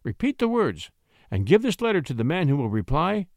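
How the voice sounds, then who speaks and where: A sly countess of a period storybook, acted by a male narrator, lifted in pitch and swooping widely. She coaxes and pleads with a breathy, cajoling manner, in a dry, close sound. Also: very animated, a little fast, dry recording. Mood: pleading, urgent. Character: scheming countess, sly, coaxing, feigning innocent distress.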